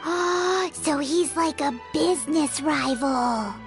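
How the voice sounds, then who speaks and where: A woman voicing a tiny floating female companion creature, excitable and bouncy, in a video game. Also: sits high, slow. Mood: curious. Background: game music.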